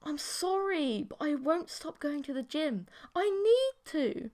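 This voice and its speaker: A woman's voice: sits high, expressive, unhurried, darkish tone, voice pushed up. A woman playing an exasperated young boyfriend in an audiobook, earnest and protesting. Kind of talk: audiobook character voice.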